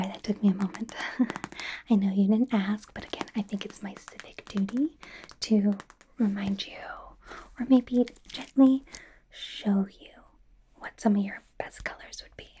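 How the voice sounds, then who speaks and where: A woman's voice: mid-range voice, warm tone, a little hiss or hum, lightly acted. A woman playing a bubbly, devoted young K-pop idol and stylist in an ASMR roleplay, whispering in a gushing, rambling, playful way. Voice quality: breathy whisper.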